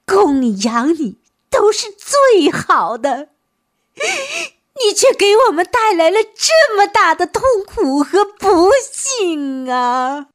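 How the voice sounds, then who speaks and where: Woman doing a crying mom begging her kid, slow and high, voice rising and falling a lot. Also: sing-song, heavily acted. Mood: pleading, tearful. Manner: pleading, tearful. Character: grief-stricken mother pleading with her daughter.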